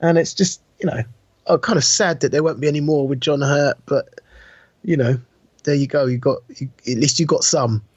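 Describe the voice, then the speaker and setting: A man's voice: fairly high pitch, normal speed, a little mellow, slightly rough, no room sound. A man chatting casually and enthusiastically as a podcast co-host, with informal, emphatic delivery. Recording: dull, muffled lo-fi audio.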